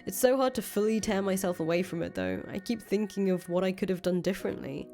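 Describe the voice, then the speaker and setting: A woman performs a disillusioned young ex-leader from a visual novel. She is blunt, sardonic and sweary, speaking in a medium-pitched voice over prominent music. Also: quick pace, creaky, mellow, lightly acted. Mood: weary, bitter. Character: jaded, foul-mouthed young woman, fugitive ex-cult leader. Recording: music playing under the voice.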